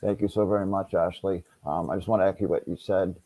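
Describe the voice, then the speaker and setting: A man's voice: deep voice, steady tone, fast, warm tone. A man moderating a panel, politely and somewhat tentatively inviting others to speak.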